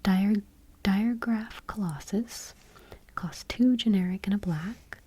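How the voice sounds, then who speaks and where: A woman speaking in a soft, breathy whisper, reading aloud gently and unhurriedly in an ASMR style. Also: mid-range voice, slow pace. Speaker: host of an ASMR channel.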